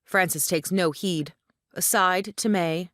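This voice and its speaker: A woman's voice: fairly low pitch, slow pace, a bit bright, no echo, studio quality. A woman reading stage directions as scripted narration for an audio play.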